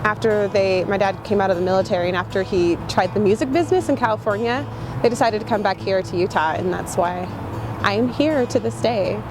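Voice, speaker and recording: A woman speaks thoughtfully and earnestly, weighing each idea as she answers. Her pitch is in the middle range for a woman. Clear music plays behind her. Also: brisk. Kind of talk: reflective interview answer. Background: background music.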